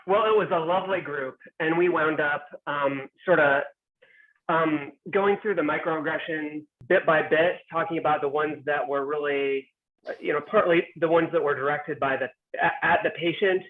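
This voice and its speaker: Tinny-sounding man, somewhat high voice. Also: moderate pace. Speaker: workshop participant. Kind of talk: online workshop discussion.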